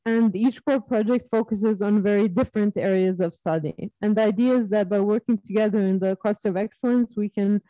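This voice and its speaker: A woman in her thirties from Serbia, giving an academic webinar talk on her research, measured and full of ums and uhs. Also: medium pitch, mellow, no room sound. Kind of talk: academic presentation. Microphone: video call. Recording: dull lo-fi video call audio.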